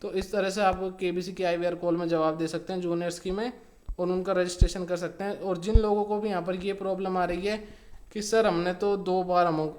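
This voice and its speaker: Higher-pitched man, flat explainer delivery. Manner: repetitive, step-by-step instructing with emphasis on key words. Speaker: YouTube tutorial host. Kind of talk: informal explainer narration.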